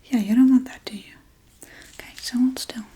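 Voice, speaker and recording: Woman doing a spooky witch asmr, higher voice, super breathy n whispery, teasing little threats, hushed and coaxing. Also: steady tone, fairly quick. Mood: teasing, menacing. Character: playful, forgetful, menacing female witch casting spells. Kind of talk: soft-spoken ASMR role-play.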